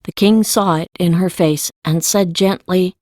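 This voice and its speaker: A woman's voice: fairly low pitch, fairly even intonation, measured pace, warm tone, no room sound, studio quality. A woman reading scripted story narration as the narrator of an audiobook.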